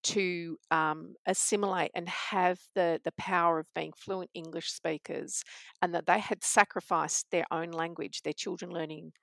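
A woman in casual conversation, reflective and earnest, pausing with frequent ums. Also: on the low side, steady tone, creaky, fairly bright tone, no room sound.

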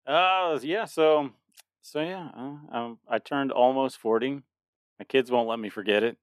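A man in his late thirties chats on a podcast at an ordinary pitch, rambling through restarts and quick laughs. The sound is dry and close, with no room around it. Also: no room sound. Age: 30s. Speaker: podcast co-host. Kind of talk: casual chat.

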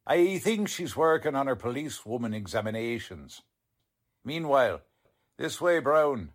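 A man playing a gruff, bossy, exasperated police lieutenant with brusque, colloquial speech, in 1940s radio drama style. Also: medium pitch, big pitch swings, moderate pace. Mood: commanding.

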